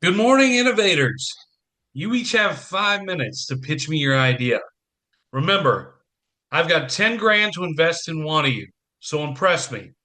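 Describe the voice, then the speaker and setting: Bright, wisecracking male investor. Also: on the high side, animated. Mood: wry, businesslike. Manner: wisecracking, brisk, in charge. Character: wry investor and young father judging startup pitches. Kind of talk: audio play acting.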